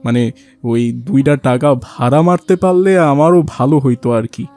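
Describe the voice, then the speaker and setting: A man's voice: mid-range voice, moderate pace, dark tone, lightly acted. A man voicing a humble, devout, poor rickshaw puller in a cartoon, plain-spoken, with a rural Bangladeshi dialect. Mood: humble, earnest. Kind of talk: cartoon dialogue acting.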